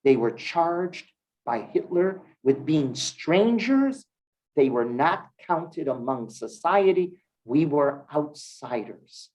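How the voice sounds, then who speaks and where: A man from Cleveland, a rabbi teaching a congregation, who stresses key words and then drops his voice low. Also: very animated, slow pace, darkish tone, low-quality recording. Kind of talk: Torah class sermon. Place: synagogue hall with congregation.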